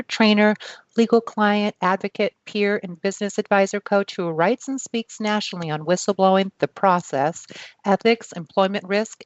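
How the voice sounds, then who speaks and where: A woman hosts an interview, first reading out her guest's long introduction and then chatting as she asks the questions. Her pitch sits in the middle. The recording is dull and dry, with little room sound. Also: no room sound. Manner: reads out a long list, then chats. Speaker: podcast host.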